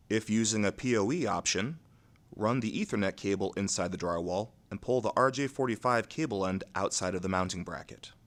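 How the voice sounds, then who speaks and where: A man with a fairly low voice narrates an instructional video. His delivery is scripted and methodical, keeping a neutral, measured tone as he moves from step to step.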